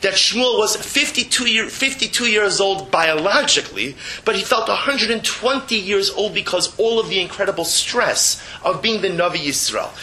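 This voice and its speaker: A man's voice: sits high, lively intonation, normal speed, few pauses, pushed and bright, poor audio. A man teaching as a rabbi, reading and explaining religious text emphatically, repeating phrases and posing rhetorical questions. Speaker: rabbi giving a Torah class.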